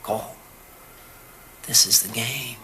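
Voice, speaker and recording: Sharp, forceful calculating defense attorney. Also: male voice, mid-range voice, slow pace, slight background noise. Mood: smug, bargaining. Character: calculating male defense attorney bargaining from custody. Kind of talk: TV crime drama acting.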